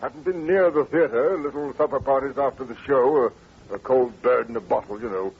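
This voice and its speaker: Flustered English duke, tinny old radio. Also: male voice, medium pitch, fairly quick, gravelly, slightly rough. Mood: sheepish worry. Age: middle-aged. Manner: stammering, hesitant, repeating himself. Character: flustered aristocratic duke of about fifty-five, anxious, secretive. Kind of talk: 1940s radio acting.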